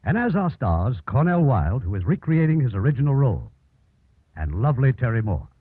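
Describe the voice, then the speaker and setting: A man's voice: medium pitch, very animated, a little fast, slightly rough, dry recording. A man hosting and narrating a 1950s radio drama in scripted style. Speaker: host and narrator. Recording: narrow old radio broadcast with music.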